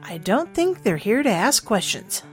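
A woman's voice: mid-range voice, very animated, unhurried. Flirty, sincere part-succubus heroine, woman actor, mid-range female voice, fantasy audiobook, light music underneath. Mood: frightened, hushed. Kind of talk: dramatized audiobook dialogue.

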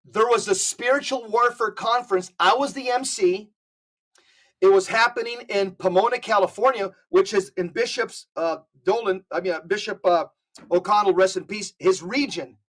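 A man's voice: sits high, normal speed, fairly bright tone. Man, podcast guest, earnest, emphatic, restarts phrases. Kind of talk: emphatic conversational commentary. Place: California.

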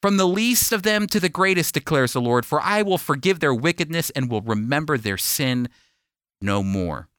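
Bright-voiced man teaching the Bible on radio. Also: fairly high pitch, animated, moderate pace, clean tone, no echo, clean studio sound. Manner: emphatic, explanatory, preacherly.

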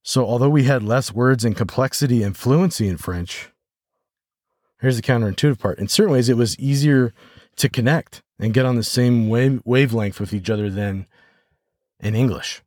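An American man hosting a podcast solo, talking reflectively and haltingly as he thinks aloud. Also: medium pitch, darkish tone, dry recording, studio quality. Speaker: podcast host.

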